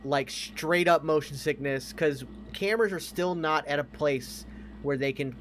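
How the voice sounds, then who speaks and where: Emphatic man with slightly higher voice, noisy recording. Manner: emphatic, profane, opinionated. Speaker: podcast co-host. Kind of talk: casual film and game discussion.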